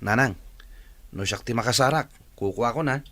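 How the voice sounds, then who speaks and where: A man's voice: medium pitch, a little fast, a bit bright, close to an everyday voice. A man playing an observant, teasing young son in a radio drama. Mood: teasing, questioning.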